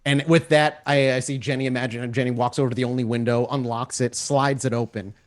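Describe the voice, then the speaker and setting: A man's voice: medium pitch, steady tone, brisk. A man running a tabletop role-playing game as game master, narrating scenes in descriptive, cinematic detail.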